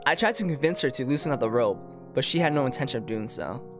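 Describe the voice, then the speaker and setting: A man streams a visual novel, reading the text aloud and chatting about it in an informal way. His pitch moves around a lot, with a tone of amused disbelief in his reactions. Music plays clearly behind his voice.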